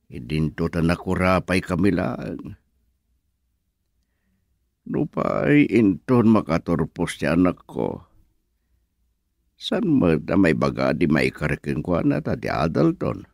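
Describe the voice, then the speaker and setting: A man's voice: sits low, very animated, slow, creaky, long pauses, no room sound, clean studio sound, exaggerated voice. An actor playing a humble, worried middle-aged father in a radio drama, with an Ilocano accent. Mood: worried, musing. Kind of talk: Filipino radio drama monologue.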